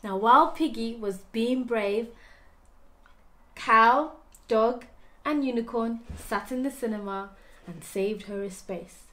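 A woman's voice: a little high, slow pace, long pauses, a bit bright. A young woman storyteller narrating a puppet show for children. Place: small plain room indoors.

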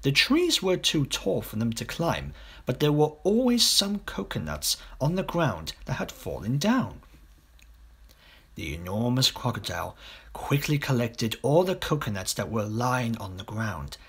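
Male storybook narrator, slightly husky, animated intonation, scripted children's read-aloud. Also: mid-range voice, measured pace. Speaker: audiobook reader.

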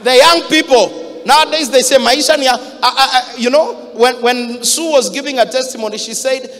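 A Kenyan man in his thirties preaches with a very high, sharp, forceful voice, driving home each point with shouts. He speaks into a handheld mic, and his voice rings with the long reverb of a big church hall. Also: a little fast. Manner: fiery, shouting emphasis, mixes Swahili quotes. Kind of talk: sermon.

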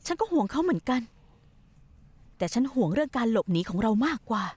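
A young wife who schemes and presses. Her high voice coaxes and pushes in turns. It is recorded dry and close for a TV dub. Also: very animated, a little fast, darkish tone, slightly rough, dry recording. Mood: insistent. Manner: coaxing, pushy, manipulative. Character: scheming young wife plotting to run away. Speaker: scheming wife in a dubbed drama. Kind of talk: dubbed television drama acting.

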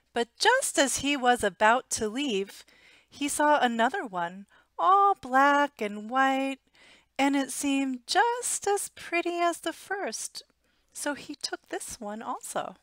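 An American woman in her thirties reading a children's picture book aloud in an expressive, deliberate way, on a headset mic in a small room at home. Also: high-pitched, no echo. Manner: expressive, deliberate, simple storytelling for young children. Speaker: storytime reader.

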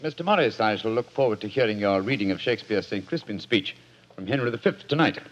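An actor playing a brilliant gentleman detective, crisp and clipped, in 1940s radio acting. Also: fairly low pitch, animated, quick pace, close to an everyday voice. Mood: brisk. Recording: dull 1940s radio with noise.

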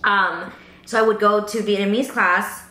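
A woman's voice: medium pitch, fairly even intonation, normal speed, bright, forceful tone, echoey room, rough recording. A young woman from Pennsylvania casually telling stories at the table during a food video, full of fillers like "like" and "um". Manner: chatty storytelling with many fillers. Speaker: mukbang host. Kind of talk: casual chat while eating. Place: bright room indoors at a table.

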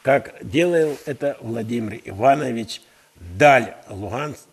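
A man in his sixties with a husky voice, talking at a slow, deliberate rate. He is an interview guest in a TV studio, speaking earnestly and emphatically, with halting, speech-like phrasing. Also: mid-range voice, expressive. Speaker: university rector, interview guest. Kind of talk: earnest patriotic interview talk. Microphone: headset.

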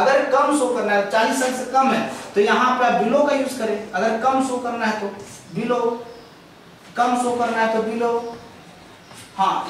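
A young man teaching a grammar lesson at a chalkboard, explaining step by step, drawing out example sentences slowly, and answering his own questions. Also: very high voice, fairly bright tone, distant mic, slight background noise. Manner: mixes English phrases into Hindi explanations; asks questions and answers them himself. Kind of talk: classroom grammar lecture at a chalkboard. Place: classroom with a chalkboard. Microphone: far camera. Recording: echoey room recorded from afar.

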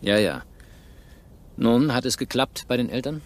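Actor as a sardonic police inspector, very animated pitch. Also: male voice, unhurried, natural voice. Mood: suspicious. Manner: probing, sardonic, commanding. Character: shrewd, sardonic male police inspector in rural France. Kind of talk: German radio crime drama acting.

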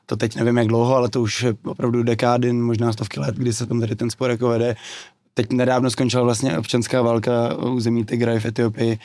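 A man's voice: mid-range voice, a little mellow, no room sound. A man speaking as a guest in a studio panel, sharing personal experience with careful hedges. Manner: draws on personal experience, hedging. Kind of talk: panel discussion. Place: small studio with wooden table. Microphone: desk mic on boom arm.

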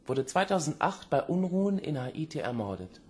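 An actor playing a dry, shrewd police investigator in a crime radio drama, speaking matter-of-factly. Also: male voice, a little high, sing-song, normal speed, a little mellow.

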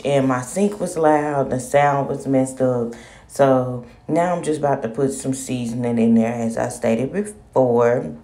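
A woman casually talking viewers through cooking in her home kitchen, in a relaxed, chatty way. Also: low-pitched. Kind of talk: casual cooking vlog, voice-over narration.

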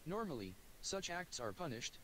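A man's voice: a little high, sing-song, moderate pace, fairly bright tone, slight background noise, rough recording. A man voicing a formal, solemn male god of tradition in a visual novel reading.

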